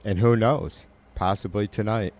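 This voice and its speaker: A middle-aged man with a nasal, raspy voice, hosting a livestream and chatting loosely with viewers, rambling and swearing. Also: fairly low pitch, steady tone, unhurried, a little mellow. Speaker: livestream host. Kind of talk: casual chat with live viewers.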